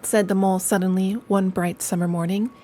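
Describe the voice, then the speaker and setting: A woman reading a children's classic aloud in a gentle, casual way, soft-spoken and relaxed between passages. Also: mid-range voice, brisk, faint music behind. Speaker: storyteller. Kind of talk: cozy read-aloud narration.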